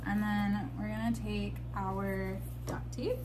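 A woman's voice: medium pitch, flat delivery, slow, background noise. A young woman in her twenties chatting casually as she shows homemaking projects, upbeat with frequent ums, filmed on a phone at arm's length at home. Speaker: homemaker vlogger. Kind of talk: casual how-to chat. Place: home kitchen and outdoors in snow.

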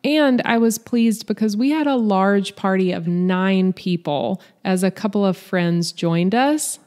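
A woman's voice: mid-range voice, a little slow, darkish tone. A woman giving a scripted, matter-of-fact voice-over review of a restaurant meal. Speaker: vlog host, restaurant reviewer.

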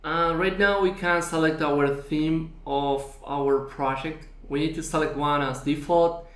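A man with a fairly high voice walks through a software tutorial at an unhurried pace, pausing on fillers as he explains. His voice sits in an echoey room, recorded for a screen demo. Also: steady tone. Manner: slow, explanatory, with frequent fillers.

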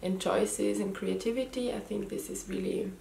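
A woman's voice: mid-range voice, fairly even intonation, moderate pace, creaky, warm tone, slight background noise. A woman in her thirties with a slight Austrian German accent, a native German speaker, hosting her first knitting video podcast from home in a casual, hesitant way with frequent ums. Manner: hesitant, many ums and restarts. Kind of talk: casual chat to camera. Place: living room at home. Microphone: camera mic, head and shoulders framing. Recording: echoey room.

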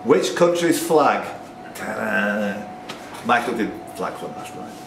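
A man's voice: fairly low pitch, big pitch swings, takes it slowly, vocal fry. A man hosting a live pub quiz, reading out questions with chatty, joking asides, recorded at a distance. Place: indoors in a pub. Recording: echoey, noisy pub, distant microphone.

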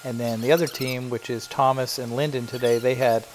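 A man's voice: mid-range voice, flat delivery, brisk, slight background noise. A middle-aged man who owns a cleaning business, giving a chatty, explanatory voiceover for his work vlog. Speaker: carpet cleaning business owner.

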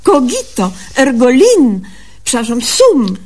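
A woman's voice: high voice, huge pitch swings, slow, darkish tone, full character voice. A woman playing a naive, self-assured young country doctor in a comic radio sketch, theatrical and mock-learned, with folksy slips. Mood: confidently explaining.

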